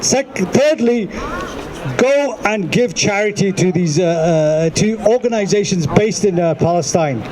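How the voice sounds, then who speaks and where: A man's voice: high-pitched, a little slow, a little mellow, low-quality recording. A man giving an earnest speech at an outdoor rally, listing his points one by one with some fillers. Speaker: rally speaker. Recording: crowd and street noise outdoors.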